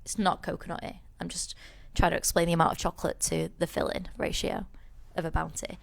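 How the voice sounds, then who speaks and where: Chatty woman with a gravelly voice. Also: fairly low pitch, sing-song, brisk. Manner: chatty, rambling storyteller with many restarts. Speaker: podcast co-host. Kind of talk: casual chat.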